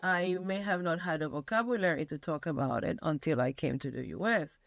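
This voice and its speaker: A woman's voice: deep voice, brisk, vocal fry, no echo, clean studio sound. A woman from Venezuela, answering interview questions emphatically, often restarting her phrases. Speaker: guest scholar.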